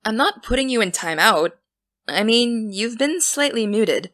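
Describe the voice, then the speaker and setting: A young actress playing an earnest high school girl who wants to be a journalist, defensive and dry. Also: lively intonation, unhurried, a bit bright, no echo. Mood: pleading. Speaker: student podcast host. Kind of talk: audio drama.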